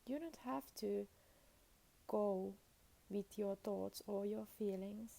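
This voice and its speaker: Slow, creaky-voiced woman guiding meditation. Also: mid-range voice, mellow. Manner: soothing, unhurried, with long pauses between short phrases. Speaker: meditation and yoga guide. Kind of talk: guided meditation.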